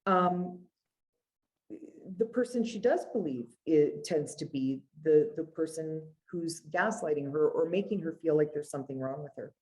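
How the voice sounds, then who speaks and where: A Canadian woman with a low, mellow voice speaks as an interview guest. She tells her professional stories conversationally, in an earnest and emphatic manner. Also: animated, moderate pace, poor audio. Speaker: guest, family lawyer.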